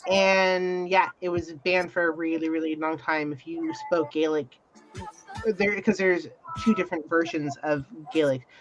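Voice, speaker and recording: A woman's voice: sits low, steady tone, normal speed. A woman chatting casually as co-host of a talk stream, with a giggly manner. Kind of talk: casual chat. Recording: muffled lo-fi stream with music underneath. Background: music.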